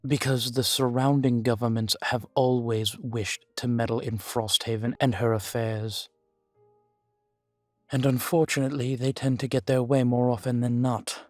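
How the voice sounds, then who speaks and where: A man playing a guarded, world-weary vampire informant in an audio roleplay, speaking in formal, measured phrases, proud yet fond. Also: medium pitch, level tone, darkish tone, no room sound, close to an everyday voice. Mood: grave, wary. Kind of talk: scripted audio roleplay to the listener. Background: background music.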